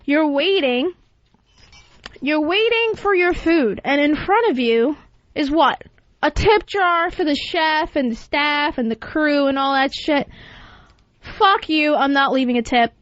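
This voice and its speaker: A woman's voice: high-pitched, animated, a little slow, fairly bright tone, no room sound, highs cut off. A woman hosting a radio call-in show with casual, profane, brash and blunt ranting talk.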